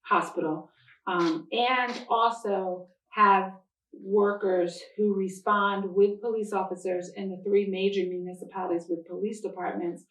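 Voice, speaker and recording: A woman county prosecutor giving formal remarks at a public announcement in a measured, deliberate, official way. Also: medium pitch, fairly even intonation. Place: church interior. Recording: echoey room, distant microphone.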